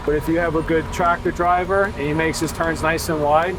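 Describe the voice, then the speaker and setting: A man's voice: a little high, steady tone, brisk, over music. A man working as a firefighter, explaining his job in a casual, practical, plain-spoken way. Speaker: firefighter and truck driver. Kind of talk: casual explanatory interview talk. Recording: clear vehicle and street noise.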